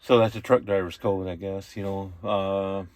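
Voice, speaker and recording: Low-voiced, folksy man chatting casually. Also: flat delivery, moderate pace, a little mellow, dry recording. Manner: folksy, colloquial. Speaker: experienced CB radio user explaining trucker slang. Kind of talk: casual chat. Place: inside a truck cab, passenger seat.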